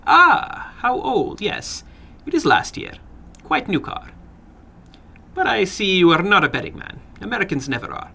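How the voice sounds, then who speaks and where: A man voicing an eager, animated little foreign gambler in halting, broken English for a dramatic horror story reading. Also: high-pitched, gravelly, breathy edge, voice pushed up, exaggerated voice. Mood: puzzled, goading.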